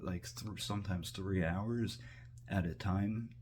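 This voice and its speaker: Slow, deep-voiced man rambling casually. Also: darkish tone, slight background noise. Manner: meandering, full of fillers and restarts. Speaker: vlogger. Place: kitchen, cooking while talking.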